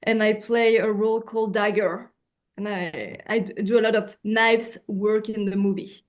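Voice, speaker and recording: A French woman speaking English as her second language, an actress chatting casually on a video call, often pausing for words and restarting. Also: mid-range voice, fairly even intonation. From France. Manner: searching for words, frequent fillers and restarts. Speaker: actress, guest.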